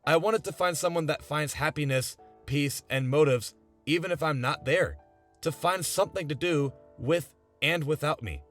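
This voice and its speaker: A man's voice: a little high, moderate pace, fairly bright tone. A young man narrating a scripted video essay in an earnest, emphatic, conversational way. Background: background music.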